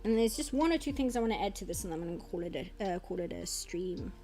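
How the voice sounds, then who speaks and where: A young woman with a South African accent and an Afrikaans background chats in a rambling, casual way while she draws, now and then dropping into quiet muttering as she works. Her voice sits at a middle pitch for a woman. Soft music plays faintly in the background. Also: animated, quick pace. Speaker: artist streamer. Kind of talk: casual chat while drawing on stream.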